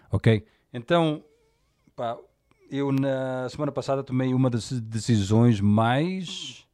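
A man's voice: lowish voice, dark tone, no echo, studio quality. A Portuguese man in his forties with a European Portuguese accent, hosting a candid, colloquial monologue podcast with frequent fillers. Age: 40s. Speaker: podcast host.

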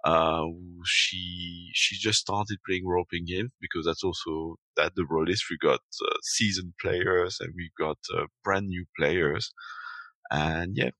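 Man, very low but bright voice, halting casual chat. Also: fairly even intonation, dry recording, poor audio. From Belgium. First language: French. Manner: hesitant, many fillers. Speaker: podcast guest. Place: at home in London at night.

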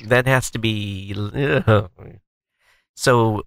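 A man's voice: fairly low pitch, extremely slow, creaky, dry recording, studio quality. A man co-hosting a podcast in casual, rambling chat, firing off eager questions. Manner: chatty, rambling, animated questions. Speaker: podcast co-host.

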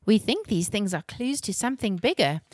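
A woman's voice: mid-range voice, lively intonation, brisk, no echo, clean studio sound, natural voice. A woman voicing an earnest, eager young girl who is curious about a mystery in a children's story.

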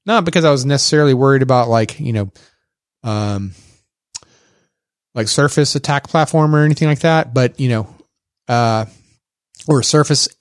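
A man's voice: medium pitch, moderate pace, long pauses, no room sound, studio quality. A man with a Texas accent, co-hosting a tech podcast in a rambling, casual way with many fillers and restarts. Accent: Texan. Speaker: podcast co-host.

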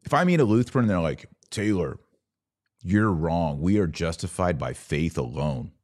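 Low-voiced man, emphatic podcast host. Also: animated, a little mellow. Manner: emphatic, didactic, conversational, with deliberate pauses. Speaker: podcast host and religious commentator. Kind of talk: live podcast commentary, answering audience comments.

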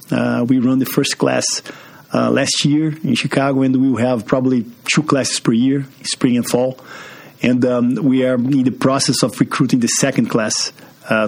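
A man's voice: medium pitch, vocal fry. A man from Brazil with a Brazilian accent, a guest in a business podcast interview, speaking with many fillers and restarts. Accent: Brazilian Portuguese. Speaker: guest, marketing executive.

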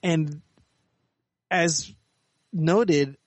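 Higher-pitched man explaining things in an interview. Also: extremely slow, no room sound. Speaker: interview guest, author and editor. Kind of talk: conversational interview answers.

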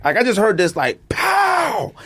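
Lively, high-voiced man telling stories. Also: a little slow, husky. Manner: animated, slangy storyteller. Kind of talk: casual chat and storytelling.

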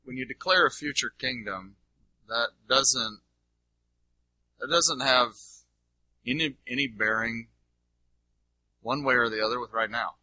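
A man's voice: medium pitch, measured pace, long pauses, sharp tone, dry recording, rough recording. A man answering an audience question as a Bible teacher, unscripted and emphatic, repeating phrases to drive points home.

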